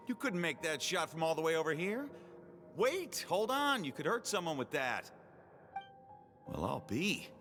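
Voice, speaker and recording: A blustering, good-hearted game mentor who teases as he talks. His mid-range voice swings widely up and down over faint background music. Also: medium pitch. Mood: amused, alarmed, then impressed. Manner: blustering, expressive, teasing. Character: gruff-but-kind adult male mentor, teasing a child. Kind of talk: video game voice acting.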